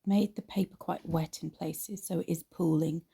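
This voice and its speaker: Low, croaky woman narrating a painting tutorial. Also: fairly even intonation, moderate pace, mellow. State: slightly croaky after a cold. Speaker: art tutorial host.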